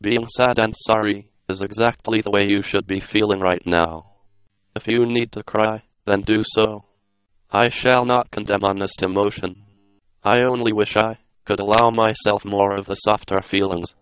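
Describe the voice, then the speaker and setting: Casting: computerized man narrator, flat delivery, clean resonant tone, slightly dull lo-fi audio. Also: fairly low pitch, moderate pace, smooth. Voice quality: synthetic text-to-speech. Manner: flat, non-dramatic delivery. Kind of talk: computer-read scripted narration.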